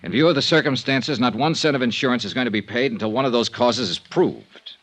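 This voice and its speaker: An American man playing a dry, persistent insurance investigator in vintage radio noir style, with measured, matter-of-fact delivery. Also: medium pitch, a bit bright, no echo, lightly acted. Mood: firm, explanatory. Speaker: lead actor and narrator.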